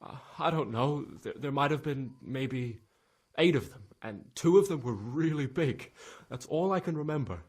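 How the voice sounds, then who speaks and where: A man playing a frightened young stable lad in a game cutscene, stammering and halting, with a husky voice. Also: mid-range voice, animated, a little mellow. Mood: shaken, haunted. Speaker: video game character, witness being questioned. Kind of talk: dramatic game cutscene acting.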